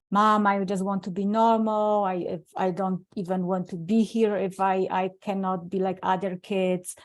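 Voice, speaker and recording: A middle-aged woman from Poland with a Polish accent, telling her life story earnestly as an interview guest, often restarting her sentences. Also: medium pitch. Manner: earnest, with frequent restarts and non-native grammar. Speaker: guest speaker.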